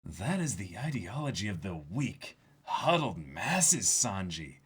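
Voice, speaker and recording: A man as contemptuous cold nobleman, low, hushed and slow, husky edge, dramatic manga reading. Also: big pitch swings, full character voice. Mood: cold contempt.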